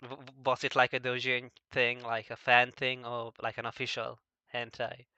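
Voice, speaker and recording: A man interviews casually in a very bright, crisp voice, though his delivery is halting and he stammers often as he begins words. The recording is a little dull and dry. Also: mid-range voice, completely flat delivery, no echo. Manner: halting, frequently stammering over word starts.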